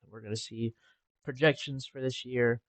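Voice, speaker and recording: A young man talking casually over a game with a congested, stuffy nose and a relaxed, rambling manner. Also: mid-range voice, normal speed, no room sound. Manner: relaxed, rambling with filler ums. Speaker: gaming channel host. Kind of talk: casual gameplay commentary.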